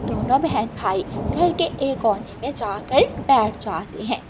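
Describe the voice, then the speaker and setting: Slow, high-voiced woman storyteller. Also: animated, noisy background. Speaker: narrator. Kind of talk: scripted children's story narration.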